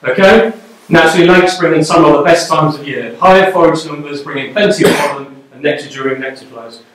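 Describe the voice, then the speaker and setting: A man's voice: on the high side, a little fast, a bit bright, a little hiss or hum. A man giving a live beekeeping talk to a club, conversational and checking in with the audience, recorded by a camera at the back of a darkened lecture room. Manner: conversational, checks in with "okay?" and "you know?". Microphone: far camera at the back of the room. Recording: very echoey room, camera far off.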